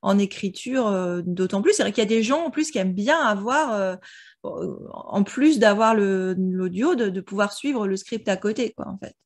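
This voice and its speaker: A woman's voice: medium pitch, lively intonation, moderate pace, a little mellow. A woman in casual, explanatory conversation, talking as a translator about her work.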